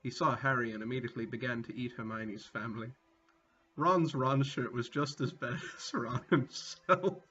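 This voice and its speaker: A man's voice: medium pitch, normal speed, sharp tone, breathy edge. A man giving a comedic, mock-dramatic reading with casual sweary asides, amused and prone to breaking into laughter. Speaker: reader. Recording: dull lo-fi sound, music behind. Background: music.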